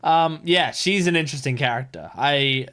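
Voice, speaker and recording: A man giving casual reaction commentary in an expressive, exclamatory fan style, swearing casually. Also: on the high side, moderate pace. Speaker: reaction video host.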